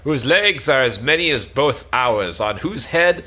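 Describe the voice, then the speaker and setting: A man acts out a boastful knight from an old stage play. He declaims with grand, bloodthirsty bombast. The voice is forceful and swings widely in pitch, full of pompous self-regard. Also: lively intonation, unhurried.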